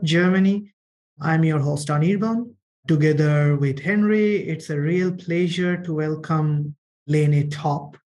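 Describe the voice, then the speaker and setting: A man hosting a podcast, reading a measured, formal introduction of his guest. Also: on the high side. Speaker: podcast host. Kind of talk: scripted introduction.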